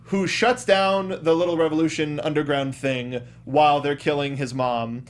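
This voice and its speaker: Higher-voiced man chatting on a show. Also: steady tone, normal speed. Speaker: podcast host. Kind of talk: casual chat recapping a film.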